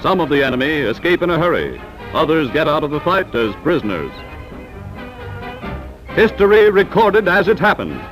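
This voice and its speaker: A man's voice: fairly high pitch, sing-song, normal speed, long pauses, over music, rough recording. An American man narrating a 1940s newsreel in a dramatic, emphatic, declamatory way, on a vintage film soundtrack.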